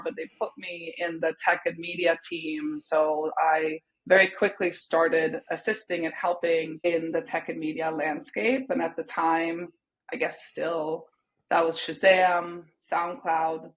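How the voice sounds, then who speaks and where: A woman's voice: lowish voice, poor audio. A woman in her thirties from Sweden, a native Swedish speaker, talking thoughtfully over a video call and hedging often with "sort of" and "kind of". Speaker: guest. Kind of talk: casual interview talk. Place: home room, indoors.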